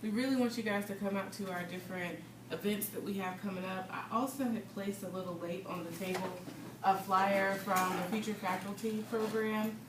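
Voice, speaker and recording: A young woman from North Carolina leading a student group meeting, steady and informative. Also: medium pitch, fairly quick. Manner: steady, informative, with filler ums. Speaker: student organization president. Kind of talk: meeting announcements. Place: classroom. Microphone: far camera. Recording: echoey classroom, distant mic, background noise.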